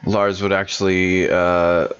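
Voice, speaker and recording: A man's voice: low voice, level tone, slow, fairly bright tone, clear, resonant tone. A man playing a tabletop game who rambles through his character's actions with lots of ums and uhs, over a dull, muffled mic. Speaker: player.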